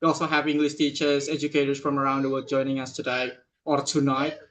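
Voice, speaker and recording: A man from Indonesia, an English teachers' association ambassador, welcoming people to an online webinar in a friendly, somewhat halting way. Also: medium pitch, completely flat delivery, a bit bright. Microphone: video call.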